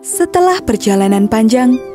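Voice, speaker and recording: A woman's voice: a little high, animated, moderate pace, music in the background. A woman narrating a scripted children's story.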